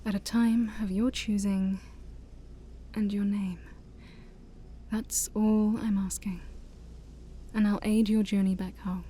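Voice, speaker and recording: A woman voicing a shy, haughty, ancient demon princess in a soft-spoken ASMR roleplay, breathy and often near a whisper, with formal, theatrical wording and awkward hesitations. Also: medium pitch, slight background noise, natural voice. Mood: shyly offering.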